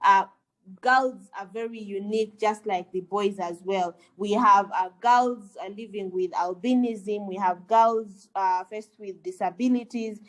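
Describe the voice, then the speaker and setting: A woman from Uganda, a lawyer, speaking earnestly on a webinar panel, pausing often with fillers and restarts. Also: mid-range voice, fairly even intonation, low-quality recording. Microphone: video call.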